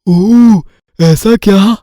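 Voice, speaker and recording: Gullible teenage village boy for a cartoon fairy tale male actor: earnest, unhurried, mellow. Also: high-pitched, no room sound, studio quality. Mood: naive. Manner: naive, slow-witted, earnest. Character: gullible simple-minded eighteen-year-old village lad, eager to please.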